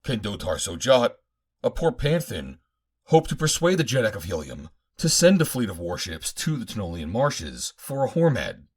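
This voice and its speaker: A man's voice: on the low side, sing-song, moderate pace, breathy edge. A man voicing a skeptical, questioning male synthetic warrior of Mars in an audiobook. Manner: questioning, doubtful.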